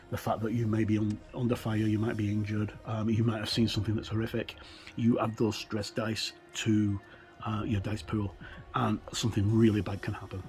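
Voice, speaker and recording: A middle-aged man giving an unscripted walkthrough commentary as a game reviewer, in a halting, hesitant way with trailing phrases. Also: fairly low pitch, a little mellow.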